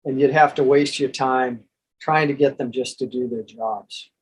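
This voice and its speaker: A man with an American accent and a husky voice, presenting in an informal monologue and reading from a book. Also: medium pitch, steady tone, a little slow, poor audio. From United States. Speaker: presenter and reader.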